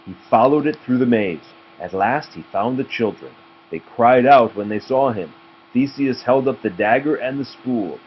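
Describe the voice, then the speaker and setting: A man's voice: mid-range voice, lively intonation, normal speed. A man reading a children's storybook aloud as a parent to a child, in a narrating style. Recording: muffled home recording with clear noise.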